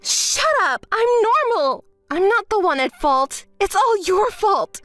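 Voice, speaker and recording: Woman doing a whiny spoiled young woman, high shrill voice that swoops all over, entitled and self-pitying. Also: expressive, unhurried, dry recording, faint music behind. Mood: furious. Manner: shrill, whiny and pleading, flaring into shouting. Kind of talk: dramatized story voice acting.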